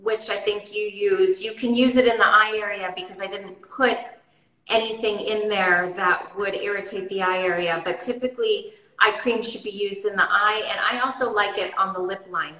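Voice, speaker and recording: A woman skincare expert and host, explaining in a relaxed, casual way during a demo chat. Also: mid-range voice, moderate pace, echoey room, rough recording. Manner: relaxed, explaining with many fillers.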